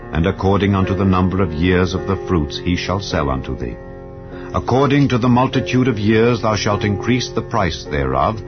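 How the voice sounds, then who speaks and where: Low voiced guy reading the bible slow and solemn, dull lo-fi sound, faint music under it. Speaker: Bible reader. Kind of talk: scripted scripture narration. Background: soft ambient music.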